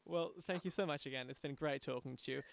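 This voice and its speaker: A man's voice: medium pitch, fast, creaky. An Australian man hosting a podcast in a conversational interview style. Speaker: podcast host.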